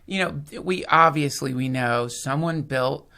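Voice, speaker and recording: A man's voice: a little high, unhurried, pushed and bright. A man from the United States, a podcast host, rambling in casual chat with profanity and emphatic points. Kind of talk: casual conversation.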